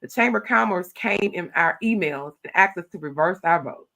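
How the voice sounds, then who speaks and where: A middle-aged woman, a county commissioner talking emphatically in an interview, with a loud voice. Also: a little fast, gravelly. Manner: emphatic, animated storytelling with rising pitch when stressing points. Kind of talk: interview conversation.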